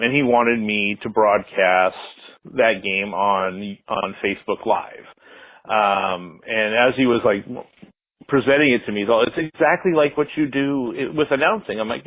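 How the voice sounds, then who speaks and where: A middle-aged American man answering interview questions as a sports announcer, talking casually and reflectively in a rambling way with frequent pauses. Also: mid-range voice. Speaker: interview guest, sports public address announcer. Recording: roomy, slightly muffled phone-like line.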